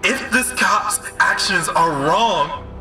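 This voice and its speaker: Actor as bright, high-voiced impassioned orator John Mark. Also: male voice, animated, slow pace, full character voice. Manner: earnest, emphatic oratory. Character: John Mark, impassioned, principled male street orator calling for justice. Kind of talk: audio drama speech to a crowd. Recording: echoey, distant, with music behind.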